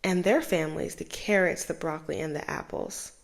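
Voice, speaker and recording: A young woman host reading a children's book aloud with expressive, animated storytelling. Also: low voice, brisk, gravelly.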